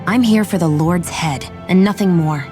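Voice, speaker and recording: A woman voicing a stern, sharp-tongued young gunslinger in a video game, curt and scolding. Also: lowish voice, normal speed, natural voice. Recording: game audio over music.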